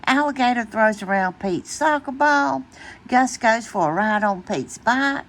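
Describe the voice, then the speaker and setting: A woman reading a children's bedtime story aloud in an expressive, deliberate way. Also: a little high. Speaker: storytime reader.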